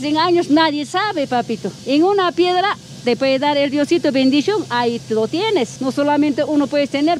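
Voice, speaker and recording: A woman speaks in a very high voice, motherly and encouraging. She piles on endearments as she urges hard work and faith, with a faint hiss of background noise behind her outside a small shop. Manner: affectionate, motherly, full of endearments. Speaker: shopkeeper. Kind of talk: casual motivational chat. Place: outdoors at a shop in a mountain mining town.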